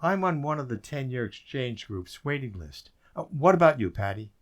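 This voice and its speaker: A man's voice: mid-range voice, sing-song, normal speed, close to an everyday voice. A man in a community radio play, playing a weary, wry government code breaker who reflects on his story. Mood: wry, resigned. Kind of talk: community theater radio drama, narration and dialogue. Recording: roomy, distant stage sound with noise.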